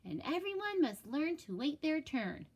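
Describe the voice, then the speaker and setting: A firm, patient lady schoolteacher from an undersea storybook, played by a woman reader. The voice is raised and very lively, explaining rules in a sing-song, instructive way. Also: high voice, normal speed, pitched above the performer's own voice. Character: patient, firm female schoolteacher sea creature.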